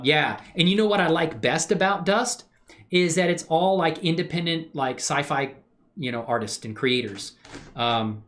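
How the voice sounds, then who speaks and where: A man's voice: mid-range voice, fairly bright tone. A middle-aged man chatting informally and enthusiastically as he hosts a casual livestream demonstration. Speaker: livestream host and hobby animator.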